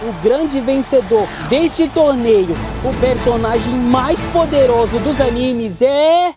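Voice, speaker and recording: A man's voice: very high voice, slow pace, music in the background. Man as dramatic young anime judge, Brazilian accent. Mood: dramatic, awed. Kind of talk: scripted animated debate, commentary.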